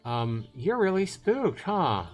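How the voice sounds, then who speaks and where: A man's voice: fairly high pitch, sing-song, slow pace, faint music behind, pitched above the performer's own voice. A man voicing a brusque, skeptical, scolding aunt in a dramatized fantasy visual novel reading.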